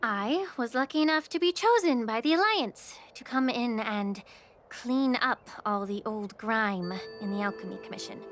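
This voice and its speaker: A woman voicing a composed, wry healer official in a video game, speaking in a measured, polite and philosophical way. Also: fairly high pitch, natural voice. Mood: reflective, measured. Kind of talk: English video game voice acting. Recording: loud music under the voice.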